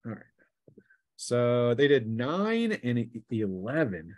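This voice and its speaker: A man acting as game master, narrating a tabletop role-playing game in a casual, halting way that mixes story with rules talk. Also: mid-range voice, sing-song, dry recording.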